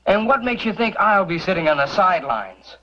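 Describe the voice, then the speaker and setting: An American actor playing a small, earnest, lovelorn mining company clerk, eager to please, in a vintage western. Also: male voice, high voice, sing-song, fairly quick. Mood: earnest, hopeful. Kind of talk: 1950s-60s western TV acting. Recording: dull vintage soundtrack, faint music behind.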